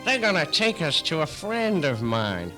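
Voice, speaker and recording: Man playing a cocky highish-voiced gangster. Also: very animated, a little slow, over music. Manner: wisecracking, smooth-talking. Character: stout cocky middle-aged gangster in pinstripes. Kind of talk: comedy radio play. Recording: 1970s hospital radio recording.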